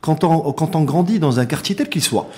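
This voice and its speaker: Interview guest: middle-aged man, Moroccan accent, fairly high register, very lively intonation, emphatic and impassioned delivery. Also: moderate pace. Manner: emphatic, repeats words for stress, rhetorical questions. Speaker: interview guest, founder and director of an organisation.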